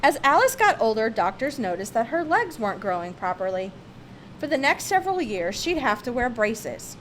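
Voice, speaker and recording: A woman reading a children's picture book aloud, with expressive, emphatic phrasing. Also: on the high side, normal speed, a little hiss or hum, low-quality recording. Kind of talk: read-aloud children's storybook narration.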